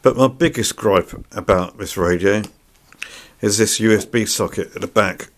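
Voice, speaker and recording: A man's voice: on the low side, moderate pace. A man giving an unscripted product review in a plain-spoken, somewhat hesitant way. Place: indoors.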